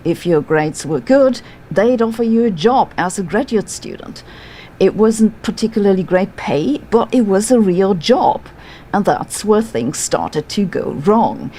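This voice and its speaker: A woman's voice: mid-range voice, lively intonation, unhurried, dark tone, slight background noise. A middle-aged woman with a German accent who reads a scripted personal story in a dry, sardonic, matter-of-fact way. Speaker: physicist telling her story. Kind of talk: scripted first-person narration.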